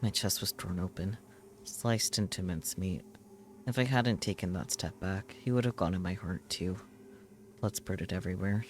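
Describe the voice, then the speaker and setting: A man reading visual novel text aloud as a streamer, in dramatic, intense narration with measured short phrases. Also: low-pitched, slight background noise. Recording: music behind the voice. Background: loud game music.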